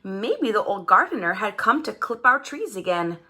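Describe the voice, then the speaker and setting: Animated, bright-voiced woman reading a storybook. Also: medium pitch, moderate pace. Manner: animated, dramatized delivery with character voices. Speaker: storybook reader. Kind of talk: expressive children's story read-aloud.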